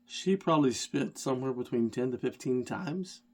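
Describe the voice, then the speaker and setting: Middle-aged rideshare driver, stuffy from a cold, casual rambling storyteller with a self-deprecating streak. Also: male voice, medium pitch, lively intonation, normal speed, breathy edge. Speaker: rideshare driver and channel host.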